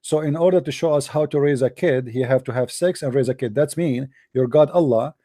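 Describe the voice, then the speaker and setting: A man's voice: medium pitch, fairly quick, dark tone, dry recording. A man hosting a heated call-in debate, his voice tired and dry, combative and repeating himself. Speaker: debate show host.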